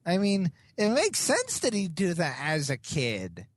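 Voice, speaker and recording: A man's voice: sits high, huge pitch swings, measured pace. A young man co-hosting a podcast, speaking earnestly and enthusiastically in casual chat. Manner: earnest, enthusiastic, uses fillers. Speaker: podcast co-host.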